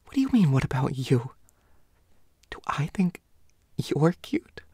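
Woman voicing a breathy, flustered pouty schoolboy. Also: low voice, sing-song, dry recording, clean studio sound, full character voice. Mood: nervous, evasive. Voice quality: breathy, hushed, often whispering. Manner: stammering, flustered, halting. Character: flustered pouty teenage schoolboy, indignant but secretly smitten. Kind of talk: intimate roleplay audio acting.

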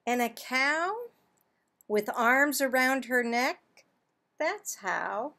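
Slow, higher-voiced older woman reading a storybook. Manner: deliberate, expressive phrasing with drawn-out words and pauses. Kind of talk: children's storybook read-aloud. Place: small home office, indoors.

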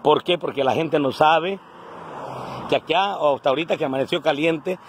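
A man's voice: on the high side, normal speed, slight background noise. A man in his forties with a coastal Guerrero Mexican accent, talking casually and colloquially into his phone in an earthy, salty way. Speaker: vlogger. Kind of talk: casual selfie vlog monologue to camera. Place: outdoors on a roadside, starting indoors in a house. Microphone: phone at arm's length.

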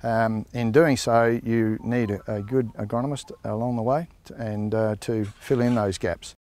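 A man in his sixties with an Australian accent, a grain grower giving halting, unhurried interview answers outdoors on a farm. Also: medium pitch, steady tone, darkish tone, no echo. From South Australia. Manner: halting, unhurried, frequent fillers.

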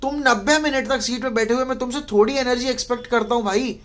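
A man's voice: very high-pitched, steady tone, quick pace, a bit bright. A man in his twenties with an Indian accent, speaking bluntly and emphatically in an exasperated, casual fan rant, recorded in a small bedroom. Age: 20s. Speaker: football fan vlogger. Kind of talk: post-match fan rant, casual Hindi-English mix.

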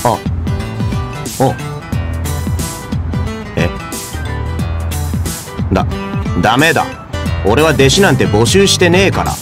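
A man voicing a bored, blunt, unimpressed young hero with a deadpan, offhand manner in an anime game. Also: medium pitch, slow pace, long pauses, music in the background. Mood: baffled reluctance. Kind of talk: Japanese anime game acting.

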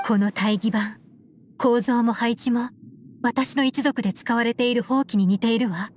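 A woman voicing a composed, shrewd adult investigator in an anime game, explaining in a cool, measured, analytical way. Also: fairly high pitch, darkish tone, slight background noise, close to an everyday voice. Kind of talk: Japanese anime game voice acting. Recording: music under the voice.

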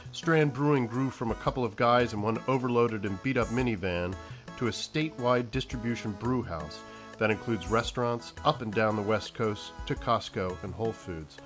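A man's voice: mid-range voice, fairly bright tone. A man reading scripted narration as the narrator of a comedy podcast.

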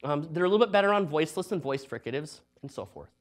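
A middle-aged man giving an academic lecture in a hall, talking in a lively, conversational way with joking asides. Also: a little high, quick pace. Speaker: university researcher giving a talk.

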